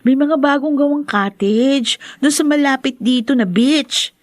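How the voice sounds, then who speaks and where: High-voiced, coaxing Filipina teenage friend. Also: female voice, unhurried, dry recording. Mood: eager, coaxing. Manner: coaxing, youthful. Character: eager, persuasive, sociable teenage school friend. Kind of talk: radio drama acting.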